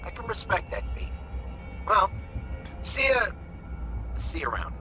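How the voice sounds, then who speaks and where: An actor playing a friendly, hearty gun shop owner calling out in a video game. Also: male voice, sits high, wildly swooping pitch, unhurried, music in the background, full character voice. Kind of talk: video game dialogue acting.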